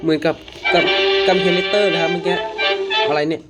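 A man giving casual reaction commentary, halting and often repeating his words. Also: on the high side, steady tone. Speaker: reaction video host. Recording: loud music behind the voice.

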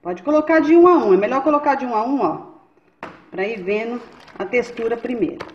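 A woman's voice: a little high, expressive, normal speed, lo-fi sound. Chatty woman teaching a recipe. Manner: chatty, checks in with viewers often. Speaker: cooking channel host. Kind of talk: step-by-step recipe demonstration. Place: home kitchen. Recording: echoey kitchen with faint noise.

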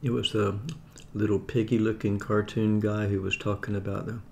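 A gravelly-voiced man in his seventies talks in an unhurried, wry way as he eats lunch at his dining table. His voice sits a little low, with faint background hiss in a home dining room. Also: level tone. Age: 70s. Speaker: vlogger. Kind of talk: casual talk while eating. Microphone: camera on table.

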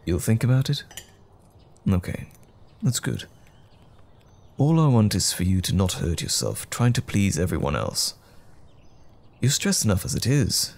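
A man's voice: fairly low pitch, gravelly, a little mellow, a little hiss or hum. A man playing a loving, attentive boyfriend in a roleplay audio drama, tender and reassuring with playful pet names. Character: loving, attentive boyfriend comforting his troubled partner.

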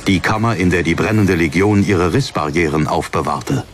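A man's voice: deep voice, moderate pace, noisy background. A man reading a dramatic fantasy audiobook as narrator.

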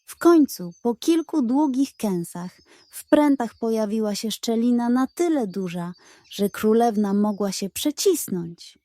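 A woman reading a scripted bedtime fairy tale as storyteller, in an expressive, measured way. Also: on the high side, no echo.

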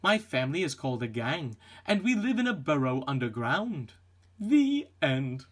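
A man's voice: on the high side, very animated, moderate pace, fairly bright tone. A man reading a picture book aloud expressively for children. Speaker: storybook reader.

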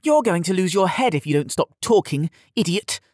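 A man voicing a spoiled, cowardly young lord's son in an audiobook, haughty, shrill and indignant. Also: animated, normal speed, darkish tone, no echo, clean studio sound. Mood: haughty threatening.

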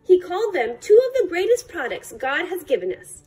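High, lively woman reading a picture book. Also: fairly quick, soft background music. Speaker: librarian reading aloud. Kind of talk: read-aloud children's picture book narration.

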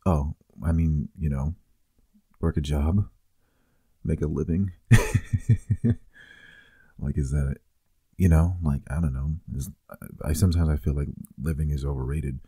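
A man's voice: very deep voice, measured pace, long pauses, dark tone, breathy edge, no room sound, exaggerated voice. A man playing a teasing, affectionate boyfriend in an intimate, casual ASMR roleplay, murmuring playfully.

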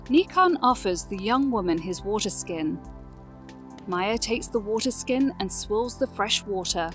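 A woman's voice: mid-range voice, animated, measured pace, soft background music. A woman narrating a scripted fantasy audio drama.

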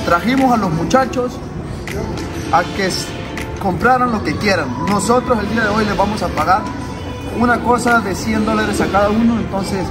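A young man hosting a casual vlog, joking and talking straight to his viewers from his car and a shopping mall, filmed on a handheld phone. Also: sits high. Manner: upbeat, joking, addresses viewers directly. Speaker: vlogger host. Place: car interior, then outdoor shopping mall.

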